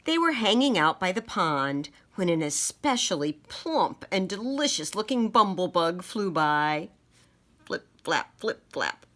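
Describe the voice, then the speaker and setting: A woman reading a children's picture book aloud in a dramatic, deliberate storytelling manner. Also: on the low side, big pitch swings, a bit bright.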